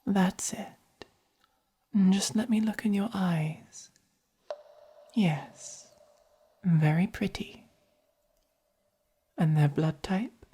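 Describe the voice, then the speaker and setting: A woman with an English accent voicing a haughty, possessive but caring vampire in a soft-spoken ASMR roleplay, with a breathy, commanding delivery. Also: on the low side, exaggerated voice. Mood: appraising. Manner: slow, deliberate, commanding.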